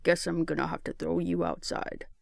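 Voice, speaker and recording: A man voicing a good-humored, protective, slightly awkward survivor in their forties, with a gentle, teasing manner, in an audiobook. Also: a little high, flat delivery, moderate pace, vocal fry, no echo, clean studio sound.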